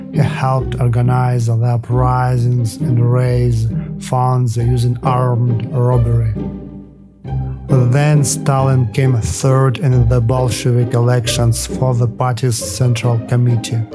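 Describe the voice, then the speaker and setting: A man reading a scripted documentary narration in a measured, even way, steadily working through facts and figures. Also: medium pitch, poor audio.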